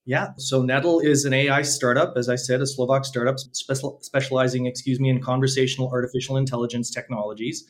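A man with a Canadian accent, speaking as a guest in an interview. His delivery stays flat and even, with little rise and fall. He talks steadily and promotionally about his work. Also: mid-range voice, fast talker, hardly any pauses. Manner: steady, businesslike and promotional, with some restarts. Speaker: startup co-founder, guest. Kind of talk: conversational interview.

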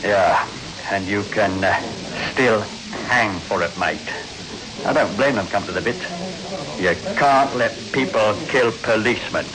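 A man's voice: lowish voice, unhurried, over a noisy crowd, natural voice, rough recording. An actor playing a chatty, matey café counterman, gossiping knowingly in a 1960s radio drama. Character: chatty gossiping roadside café counterman, adult man, matter-of-fact.

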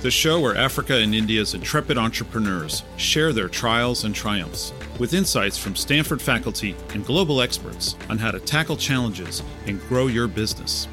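A man hosting a podcast, reading scripted narration in a clear, even, polished way and putting questions to his guest. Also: mid-range voice, moderate pace, hardly any pauses, over music. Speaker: podcast host.

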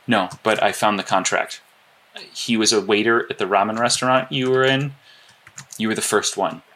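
Earnest male strategist, bright voice. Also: lowish voice, steady tone, a little hiss or hum. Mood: contrite, earnest. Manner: halting, analytical, earnest. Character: earnest, apologetic male strategist guiding teammate remotely. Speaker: actor in audio drama. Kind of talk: naturalistic audio drama dialogue.

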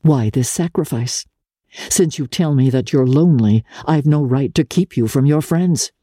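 A woman narrator acts a lovesick gentleman of old New York, bitter and jealous. He is intense and sneering, with a dark, husky tone suited to a dramatic classic novel reading. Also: rumbling low, moderate pace, no echo, studio quality. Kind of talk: dramatic audiobook character reading.

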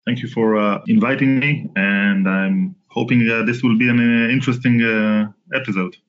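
A man in his forties from Israel, a company founder talking as a podcast guest, halting with many restarts and fillers. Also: medium pitch, steady tone, dull audio. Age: 40s. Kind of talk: casual interview talk.

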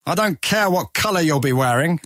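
A man's voice: on the high side, expressive, fairly quick, a bit bright, no echo, clean studio sound. A man playing a hostile, contemptuous armed guard in a fantasy audiobook drama. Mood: menacing. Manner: contemptuous, threatening. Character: hostile, sneering armed male merchant-guild guard. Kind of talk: full-cast fantasy audiobook acting.

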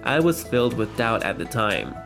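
A man with a middle-pitched voice gives a calm, explanatory voice-over that translates an interview. Loud music plays underneath him the whole time. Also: moderate pace, creaky.

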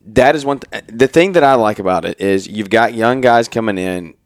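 A man's voice: on the low side, moderate pace, no echo, studio quality. A man in his twenties chatting on a podcast at a trade expo, talking in loose run-on sentences full of restarts. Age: 20s. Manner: rambling, many restarts and "like"s. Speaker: podcast co-host, married to co-host. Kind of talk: casual podcast conversation.

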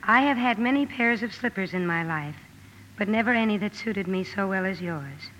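A woman's voice: mid-range voice, expressive, moderate pace, fairly bright tone, close to an everyday voice. A woman acting a proud, headstrong, tomboyish young woman in a 1950s radio drama, speaking forthrightly. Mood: reading aloud. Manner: forthright, emphatic. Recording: noisy vintage radio recording.

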